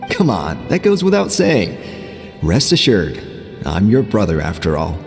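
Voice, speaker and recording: Sly smug leader, game character, lively swinging pitch, theatrical delivery, reverberant hall, music underneath. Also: male voice, a little slow, creaky, full character voice. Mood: reassuring. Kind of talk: video game voice acting, dramatic dialogue.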